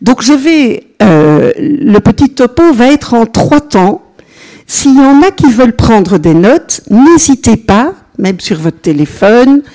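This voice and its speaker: An older woman with a Belgian French accent, a religious sister giving an informal, chatty teaching to a seated group and often pausing to address them directly. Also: on the high side, very animated, warm tone. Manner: chatty, unhurried, peppered with "voilà", engages listeners.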